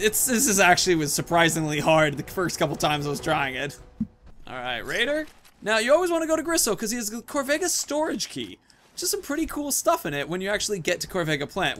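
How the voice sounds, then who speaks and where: A young man gives casual, chatty gameplay commentary. His voice is fairly high and swings widely in pitch, with faint game noise behind it. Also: bright, forceful tone. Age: young adult. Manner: chatty, jokey, rambling. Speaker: gaming video host. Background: game ambience, faint noise.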